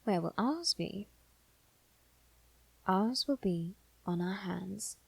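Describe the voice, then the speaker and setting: A quietly intense male demon triplet, acted by a woman, speaks softly and deliberately at a medium pitch. The ASMR roleplay voice is calm and probing, captured dry and close. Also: creaky, husky, no echo, heavily acted. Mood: calm, solemn. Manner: soft, slow, deliberate, dipping toward whisper. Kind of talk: scripted ASMR roleplay acting.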